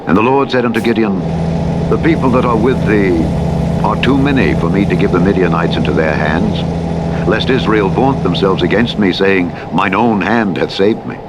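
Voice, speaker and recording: Deep-voiced man reading scripture over engine noise. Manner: measured, formal delivery. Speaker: Bible narrator. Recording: heavy vehicle engine noise. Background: rumbling truck driving sounds.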